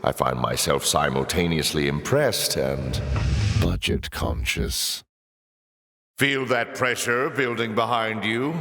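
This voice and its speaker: A man playing a suave, mocking demon lord in a video game, with a silky, pompous, sardonic delivery and drawn-out teasing. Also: deep voice, big pitch swings, creaky, a bit bright, exaggerated voice. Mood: condescending, dry. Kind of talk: theatrical video game villain voice acting. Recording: echoing, cavernous reverb.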